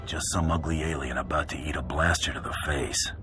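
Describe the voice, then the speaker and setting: A really deep tough bounty hunter from a video game, curt n sarcastic, music playing behind him. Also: male voice, fairly even intonation, brisk. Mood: cool menace. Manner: terse, dry, sardonic. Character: tough, cocky male bounty hunter, curtly sardonic. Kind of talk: video game cutscene acting.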